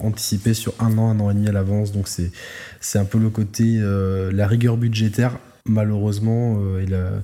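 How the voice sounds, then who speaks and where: A man's voice: on the low side, warm tone. A man co-hosting a podcast, chatting casually and rambling with many restarts. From southern France. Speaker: podcast co-host. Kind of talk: casual chat.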